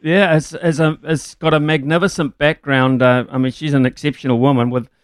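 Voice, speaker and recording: A man with a New Zealand accent hosting an interview, asking measured questions with a few fillers. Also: fairly high pitch, flat delivery, clean tone, no room sound, clean studio sound. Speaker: interviewer host. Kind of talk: casual interview.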